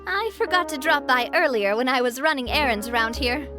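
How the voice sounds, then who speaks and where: An actress playing a warm, confident young woman boss in an English video game dub, gracious and upbeat. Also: high-pitched, lively intonation, a little fast, over music. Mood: breezy, friendly. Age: young adult.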